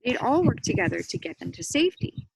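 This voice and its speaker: A woman reading a children's story aloud, with expressive dramatic emphasis and brief explanatory asides. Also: high voice, fast, gravelly, slightly rough, no echo, poor audio. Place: outdoors near cows. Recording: faint background noise.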